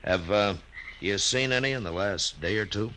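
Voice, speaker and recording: A deep-voiced frontier marshal. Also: male voice, moderate pace, pushed and bright, slight background noise, low-quality recording. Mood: easygoing. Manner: laconic, drawling, understated. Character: steady frontier marshal, grown man, calm and plainspoken. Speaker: narrator and lead character. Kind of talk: 1950s radio drama acting.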